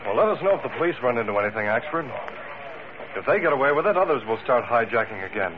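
An actor playing a level-headed young newspaper publisher in 1940s radio style. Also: male voice, medium pitch, sing-song, quick pace, lightly acted. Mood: concerned. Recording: tinny, noisy old radio recording.

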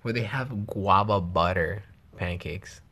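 A man's voice: sits low, takes it slowly, a bit bright. A young man from the Los Angeles area vlogging in casual chat with his girlfriend, joking and cursing freely with slang.

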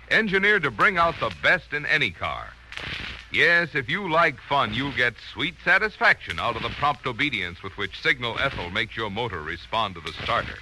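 A man's voice: medium pitch, pushed and bright. An American man announcing a 1940s radio commercial with polished, upbeat salesmanship on a crackly old recording. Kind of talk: 1940s radio advertising pitch.

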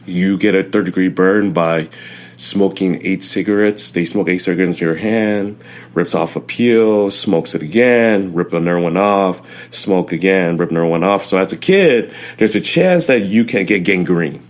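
A young man from Southern California whose first languages were Chinese and Vietnamese, telling his life story haltingly as an interview guest. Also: deep voice. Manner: plain, halting storytelling with many fillers. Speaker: guest, CrossFit coach.

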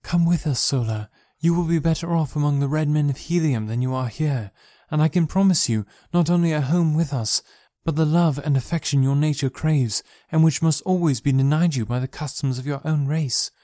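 An earnest, proud young alien princess from a storybook, as a male reader puts her on, her voice pitched well above his own. She pleads softly and warmly, with a mellow tone and dry, close sound. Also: moderate pace, hardly any pauses, no echo, close to an everyday voice. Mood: pleading.

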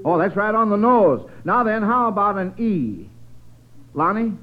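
Tinny old-radio, high-voiced American quiz host. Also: male voice, sing-song, measured pace, background noise. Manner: avuncular, chatty. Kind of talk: 1940s radio quiz hosting to children. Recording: tinny vintage radio broadcast.

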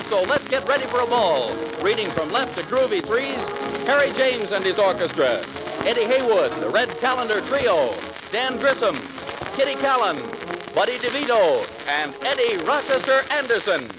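A man's voice: very high voice, sing-song, moderate pace, over music. A man announcing a 1940s radio variety show on a noisy old broadcast recording.

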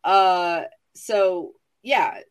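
A man's voice: sits high, very slow, clean tone. A man in his forties telling casual comic stories as a chat show guest, sassy, dramatic and self-mocking. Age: 40s.

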